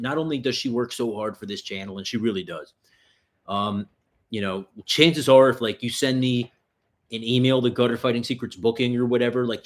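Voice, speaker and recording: Profane, rambling livestream host. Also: male voice, medium pitch. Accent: New York area. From US tri-state area. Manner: loose and profane, rambling with fillers. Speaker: livestream host answering viewer questions. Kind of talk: casual livestream Q&A.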